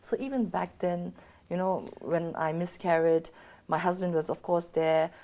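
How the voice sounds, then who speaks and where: A woman's voice: fairly low pitch, unhurried, warm tone. A woman in her thirties from Bhutan with a Bhutanese accent, a guest speaking openly and chattily in an interview while her voice is partly gone from illness. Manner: open, chatty, frequent "you know". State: partly lost voice, sick. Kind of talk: candid personal interview.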